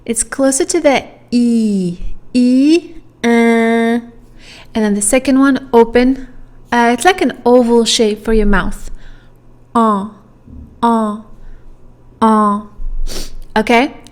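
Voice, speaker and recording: A woman's voice: fairly high pitch, steady tone, takes it slowly, pauses often. A native French-speaking woman tutoring pronunciation, drilling sounds one at a time and checking in with "okay?". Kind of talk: pronunciation lesson.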